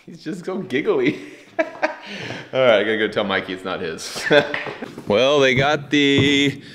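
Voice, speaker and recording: A man's voice: mid-range voice, expressive, unhurried, noisy background. A man hosting a vlog, chatting casually and laughing often as he shows truck parts in a workshop. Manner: laid-back, laughs often. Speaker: vlog host. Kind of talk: casual chat.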